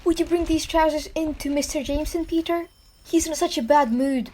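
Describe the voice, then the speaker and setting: A woman's voice: sits high, steady tone, brisk, darkish tone, lightly acted, rough recording. A woman voicing a timid, polite office secretary in a comic book dub, with a meek, nervous manner.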